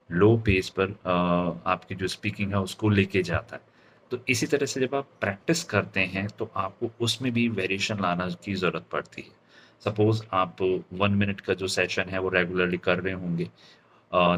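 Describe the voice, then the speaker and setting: An Indian man giving an instructional lesson as a public speaking trainer, deliberate and stressing his key words. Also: deep voice, fairly bright tone, a little hiss or hum. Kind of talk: instructional lesson mixing Hindi and English.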